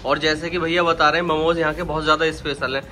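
A man in his thirties from India narrates a casual travel vlog straight to his viewers. His voice sits a little high and stays even, with little rise and fall. He films on a phone held at arm's length while driving and walking through shops. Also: quick pace, a little hiss or hum. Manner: chatty, addresses viewers directly. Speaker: vlogger. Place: car, cafe and mall, indoors and out.

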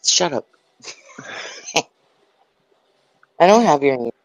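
A woman's voice: low-pitched, very animated, very slow, dry recording, rough recording. A woman hurling aggressive, profane taunts in a heated argument, talking into a phone. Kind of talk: heated insult exchange in a live audio chat room.

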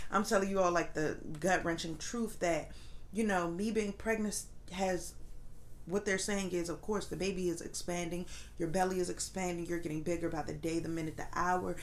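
A young woman talks openly to the camera from her sofa at home, her slightly low voice stuffed-up and nasal. She rambles loosely, full of ums and likes, with a faint hum of room ambience behind her. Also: gravelly. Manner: candid, rambling, many fillers. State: congested, nasal voice. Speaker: vlogger. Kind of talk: casual pregnancy update.

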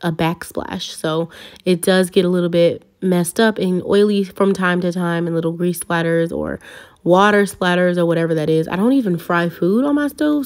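A young woman chatting casually as she narrates cleaning her kitchen, with light laughs. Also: fairly low pitch. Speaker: vlogger.

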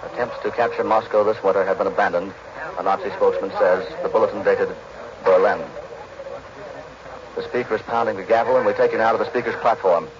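A man's voice: fairly low pitch, steady tone, a little fast, crowd noise. A man with an American accent announcing live on 1940s radio news. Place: live at the House chamber. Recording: noisy muffled 1940s radio recording.

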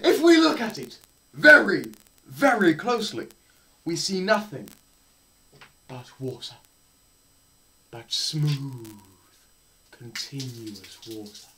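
A man's voice: on the high side, sing-song, slight background noise, rough recording. Theatrical young man lecturing with wild swings. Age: young adult. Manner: theatrical, swinging between shouts and hushed murmurs; deliberate pauses and repetition for effect. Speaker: presenter. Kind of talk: dramatised science lecture reading. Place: small bare indoor room.

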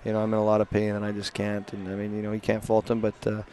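A man in his thirties, a hockey coach giving a halting postgame interview at an indoor rink on a headset mic. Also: fairly low pitch, a little hiss or hum. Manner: flat, gravelly, halting with many restarts.

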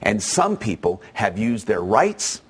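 A man's voice: lowish voice, big pitch swings, slow pace, background noise. A man hosting a show, delivering an emphatic, persuasive opinion monologue straight to his listeners. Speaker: show host.

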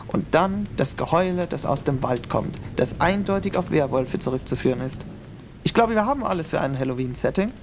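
A woman's voice: low-pitched, animated, a little fast, background noise. A woman voicing a nervous young male student in an amateur audio drama, fretting and talking to herself. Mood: wary. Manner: anxious, fretful, talks to herself. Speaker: voice actor in a fan audio drama. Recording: music playing behind voice.

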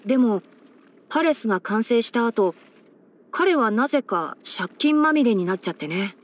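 A woman's voice: on the high side, expressive, moderate pace. Composed, scholarly young male forest ranger. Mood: dry, cautioning. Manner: composed, articulate, mildly wry, mentor-like explaining. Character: young male fox-eared forest ranger, scholarly, sensible, dryly caring. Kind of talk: scripted video game character voice-over.

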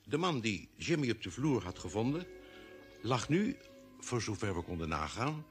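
A man's voice: lowish voice, very animated, normal speed. An actor playing a sober space explorer who recounts his expedition as narrator of an old radio drama. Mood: grave, measured. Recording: vintage radio drama with music behind.

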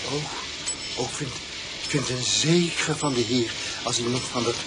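An actor playing an unhinged, obsessive petrol station attendant in a radio play, speaking in a halting, repetitive way. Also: male voice, medium pitch, lightly acted. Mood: hesitant, polite. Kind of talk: Dutch radio play acting. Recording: noisy old radio play recording.

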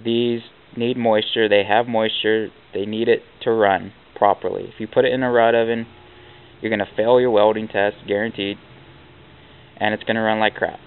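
Blunt low-voiced man explaining shop basics. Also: flat delivery, moderate pace, clean tone. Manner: plainspoken, blunt, with fillers like "like". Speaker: welding instructor. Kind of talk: casual hands-on tutorial. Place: workshop, chalking on a steel plate. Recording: tinny lo-fi camera audio with hiss.

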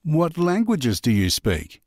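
A man reading a question slowly on a textbook recording, spacing each word carefully. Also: on the high side, wildly swooping pitch, a little mellow, no echo, studio quality. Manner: slow, carefully spaced question. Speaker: recorded dialogue speaker. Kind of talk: slow scripted textbook audio.

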